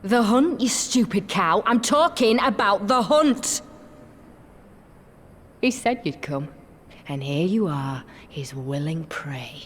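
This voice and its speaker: Bitter, defiant soldier, wildly swinging pitch. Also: female voice, expressive, takes it slowly, pauses often, slight background noise. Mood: spiteful, weakened. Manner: scornful, sneering, breaking into breathy whispers. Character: defeated, bitter, defiant young woman soldier serving an empire. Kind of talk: dramatic game cutscene acting.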